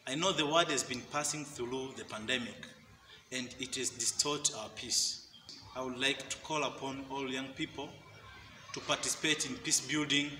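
A man's voice: mid-range voice, steady tone, normal speed, pushed and bright. Young man from Tanzania, distant mic, long reverb, noisy. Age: young adult. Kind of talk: recorded video message. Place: bare concrete room, indoors.

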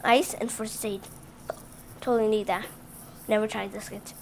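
A woman's voice: mid-range voice, level tone, normal speed, gravelly, slight background noise. A female channel host reading a picture book aloud to children at home, stumbling and restarting on words and stopping for chatty asides to the kids. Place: indoors at home, book on a table.

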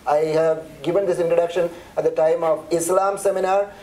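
Middle-aged Indian-accented man, fairly high voice, relaxed seminar lecturer who talks in a chatty way and restarts often. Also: fairly quick, poor audio. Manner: chatty, conversational, many restarts and fillers. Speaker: seminar presenter.

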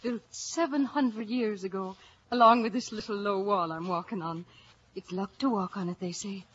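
A woman's voice: mid-range voice, steady tone, normal speed, a bit bright, husky. An actress with an Irish accent playing a guileless, romantic young village woman, earnest and frank, in 1940s radio style. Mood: chatty. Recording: muffled old radio broadcast.

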